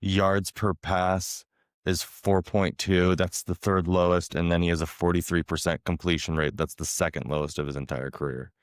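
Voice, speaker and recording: Laid-back guy w a bit of a cold, slightly raspy, real deep voice, dry close mic. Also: flat delivery, normal speed, no room sound. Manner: laid-back, reads out stats. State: coming down with a cold. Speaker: podcast co-host. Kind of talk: casual sports chat.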